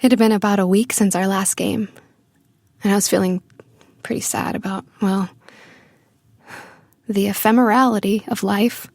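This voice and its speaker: An actress playing a tender, playful young woman who misses her family, in a confiding, expressive audio drama monologue. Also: medium pitch, moderate pace, creaky, long pauses, no echo. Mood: sad, wistful. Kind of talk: intimate first-person audio drama monologue.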